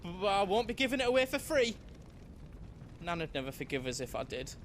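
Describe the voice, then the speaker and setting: An actor playing a nervous, chatty merchant in a game cutscene. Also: male voice, high-pitched, big pitch swings, a little fast, a bit bright, slight background noise. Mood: anxious. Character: nervous chatty male merchant, young-ish. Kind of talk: video game cutscene acting.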